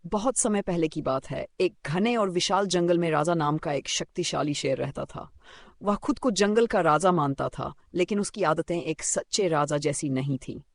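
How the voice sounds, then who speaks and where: A male storyteller reading a scripted children's fable. His voice is slightly high for a man and noticeably creaky, captured very dry with no room sound. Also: lively intonation, moderate pace, no room sound.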